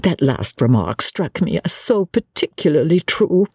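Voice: mid-range voice, sing-song, a little slow, darkish tone, husky, no echo, studio quality, voice pushed up, full character voice. A reader voicing an older spinster chaperone in an audiobook story, prim, fussy and earnestly admiring. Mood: earnest admiration.

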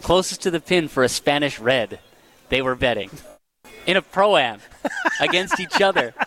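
A man co-hosting a live sports radio show at a golf tournament, telling stories in a relaxed, chuckling way. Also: fairly high pitch, a little slow.

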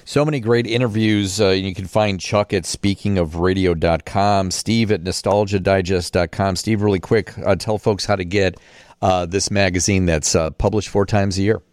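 A man's voice: sits low, fairly even intonation, moderate pace, darkish tone, dry recording, clean studio sound. An American man hosting a conversational radio interview. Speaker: radio host.

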